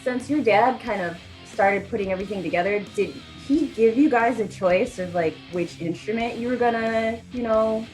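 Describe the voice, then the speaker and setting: A woman's voice: a little high, normal speed, few pauses, darkish tone, faint music behind, poor audio. A young adult woman interviewing a band in a casual, upbeat way, with lots of "like" and "awesome". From United States. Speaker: interviewer, rock news correspondent. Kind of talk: casual interview over a video call.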